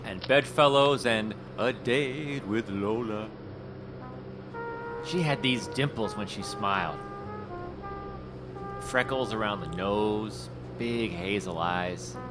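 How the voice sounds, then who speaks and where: An actor playing a middle-aged talent scout in an audio drama, nervous and talkative. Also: male voice, fairly low pitch, takes it slowly, long pauses, music in the background. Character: tenacious male talent scout, fast-talking and anxious. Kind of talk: radio drama acting.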